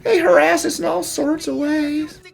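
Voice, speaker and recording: Someone doing a worked up farmhand in a cartoon dub, really high voice, urgent country talk. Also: little pitch movement, unhurried, vocal fry, heavily acted, poor audio. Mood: distressed. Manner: urgent, rustic grammar. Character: agitated farmhand reporting harassment. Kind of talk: fan-dub cartoon acting.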